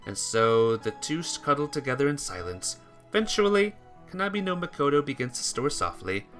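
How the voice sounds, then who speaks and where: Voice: medium pitch, very animated, moderate pace, a bit bright, faint music behind. An amateur reader narrating a visual novel expressively, as a story.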